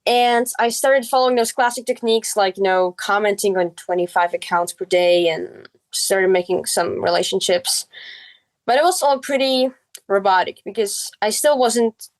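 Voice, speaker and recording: A woman's voice: mid-range voice, moderate pace. A girl in her early teens, a native Czech speaker with a Czech accent, telling her story casually as a podcast guest. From Czech Republic.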